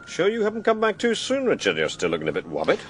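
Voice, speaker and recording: An actor playing a wry, authoritative police inspector with a Scottish accent in a radio crime drama. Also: male voice, high-pitched, huge pitch swings, fast talker, noisy background.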